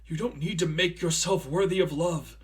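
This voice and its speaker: A man's voice: on the high side, normal speed, pitched above the performer's own voice, close to an everyday voice. A man playing a kindly, anguished priest and uncle in a story reading, earnest and emphatic, protective and apologetic. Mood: desperate pleading.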